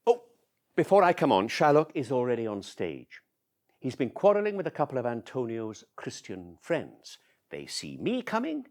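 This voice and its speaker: A chatty, confiding messenger, played by a man who talks straight to the audience in a one-man stage monologue. His delivery is animated and full of wry asides, and his voice rises and falls freely. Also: mid-range voice, moderate pace.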